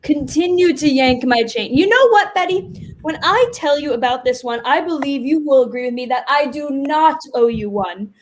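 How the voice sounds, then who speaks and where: A woman's voice: high-pitched, expressive, moderate pace, a little hiss or hum. A woman actor in a staged play reading, playing Death as an exasperated, reluctant office worker with an emphatic, conversational delivery. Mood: exasperated insistence. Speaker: actor in a community theater reading.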